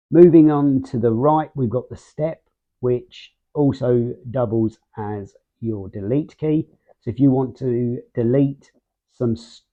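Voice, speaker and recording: A man's voice: mid-range voice, phone-quality sound. A man hosting a casual product review, explaining things step by step. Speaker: host of a radio gear review channel.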